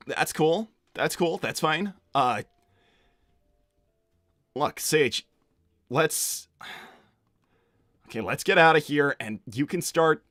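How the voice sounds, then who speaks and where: A man's voice: medium pitch, a bit bright, dry recording, studio quality. A man playing a casual, awkward young cartoon hero in improvised livestream roleplay. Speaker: performer voicing game characters on a fan livestream.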